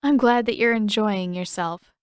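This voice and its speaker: A woman's voice: mid-range voice, normal speed, clean tone, no echo, studio quality, natural voice. A woman voicing a wary, exasperated teenage girl in an audiobook, intense and demanding. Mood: flat, irritated. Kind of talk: audiobook character voice.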